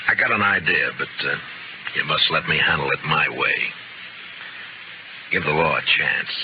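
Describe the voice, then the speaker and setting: An actor playing a stern, wry Old West marshal in a 1950s radio western, speaking firmly and laconically. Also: male voice, rumbling low, normal speed. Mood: firm, measured. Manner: laconic, firm, dry sarcasm. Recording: muffled, noisy old radio broadcast.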